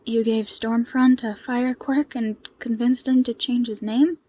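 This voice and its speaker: A woman voicing a nervous, awestruck young male intern in an audio story, halting and tentative. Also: a little high, fairly even intonation, warm tone.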